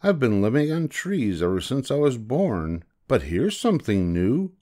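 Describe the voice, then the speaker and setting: A chirpy little male bird from a storybook, acted by a man, cheeky and presumptuous. The voice sits raised and bounces around in pitch as he makes himself at home. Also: big pitch swings, unhurried, dark tone. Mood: delighted. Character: cheeky, pushy small male zinazoo bird.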